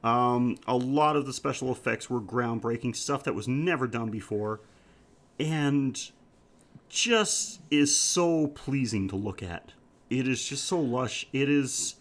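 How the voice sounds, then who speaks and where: A man's voice: mid-range voice, vocal fry, fairly bright tone. A man hosting a podcast, chatting casually about film in an enthusiastic, rambling way. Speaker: podcast host. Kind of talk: casual film review chat.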